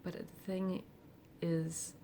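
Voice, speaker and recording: A woman of forty speaking candidly and reflectively in a personal vlog, with soft laughs and hesitant fillers. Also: on the low side, little pitch movement, slow pace, vocal fry, slight background noise. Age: 40s. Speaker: vlogger. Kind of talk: personal confessional monologue.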